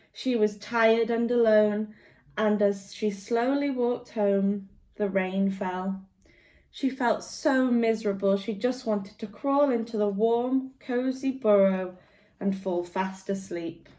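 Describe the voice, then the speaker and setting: A woman reading a children's story aloud in an expressive, measured way for young listeners. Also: medium pitch.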